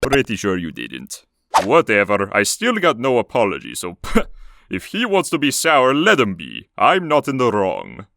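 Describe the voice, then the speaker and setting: A man voicing a gruff, stubborn middle-aged man with a flippant, unapologetic manner in a fan comic dub. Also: lowish voice, huge pitch swings, measured pace, background noise, heavily acted. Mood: dismissive.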